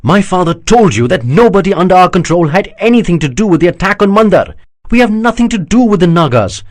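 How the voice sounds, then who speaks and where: Indignant young prince, heated arguing, dry close sound, animated pitch, male narrator voicing. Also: brisk, dry recording. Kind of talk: audiobook character voice.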